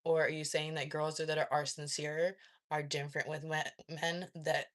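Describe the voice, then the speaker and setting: A woman's voice: low-pitched, little pitch movement, moderate pace. A woman hosting a casual live stream, reading chat and asking probing follow-up questions, with frequent laughs and fillers. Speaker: livestream host reading chat.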